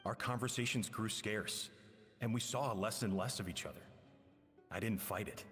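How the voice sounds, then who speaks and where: An actor playing a regretful, grieving man in a video game, narrating in a solemn, wistful, measured way. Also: fairly low pitch, a bit bright, natural voice. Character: regretful man, a pastry chef grieving his estranged friend. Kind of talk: dramatic game monologue, letter narration. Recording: long reverb with music underneath.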